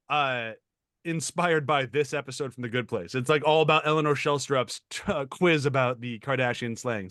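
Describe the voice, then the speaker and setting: Podcast co-host, male, mid-pitched, rambling and chuckly, dry close mic. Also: a bit bright, no room sound. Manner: rambling, laughs while talking. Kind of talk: casual chat.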